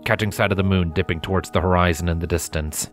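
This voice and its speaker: A man narrating scripted fan fiction in a measured, dramatic storytelling manner. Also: deep voice, steady tone, faint music behind.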